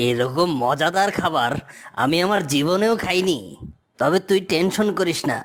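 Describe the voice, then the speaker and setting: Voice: mid-range voice, fairly bright tone, close to an everyday voice. An actor voicing a greedy, mocking fox villain in a cartoon, with a taunting, bullying manner. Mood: gloating. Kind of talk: cartoon character dialogue.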